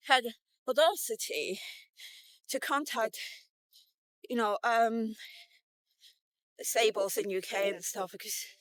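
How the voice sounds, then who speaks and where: A woman's voice: on the high side, a bit bright, no room sound, low-quality recording. A woman in her fifties telling a personal story casually, in a blunt, conversational, sweary way, as a vlogger. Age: 50s.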